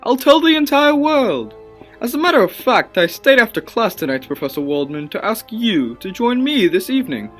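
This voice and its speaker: An obsessive young scientist in a radio drama. His fairly high voice swings widely in pitch, earnest and formal, with music underneath. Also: big pitch swings, moderate pace, a bit bright, exaggerated voice. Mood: intense, eager. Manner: earnest, theatrical, formal phrasing. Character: obsessive, passionate young scientist, male, haunted by his experiment. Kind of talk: dramatic radio play acting and narration.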